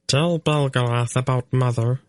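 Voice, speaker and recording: A small furry male fenling creature, as a man puts him on, speaking in a high, piping chitter that sits far above an adult man's range. He talks in earnest, formal phrases, slowly and deliberately. The sound is dry and close. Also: steady tone, a little mellow, no echo, close to an everyday voice. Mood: solemn. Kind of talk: audiobook character voice.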